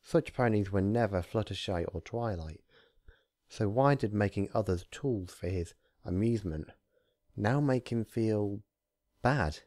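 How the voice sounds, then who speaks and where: A man who hosts readings of fan fiction, talking casually and narrating with theatrical, deliberate phrasing. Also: lowish voice, darkish tone, no echo, studio quality. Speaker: fan fiction reader, channel host. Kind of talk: casual intro, then narrated story reading.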